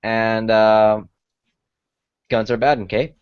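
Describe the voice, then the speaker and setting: A man's voice: fairly low pitch, little pitch movement, takes it slowly, clear, resonant tone, dull audio. A man from Colombia hosting a casual online talk show, wry and joking as he signs off. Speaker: show host. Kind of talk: casual podcast chat.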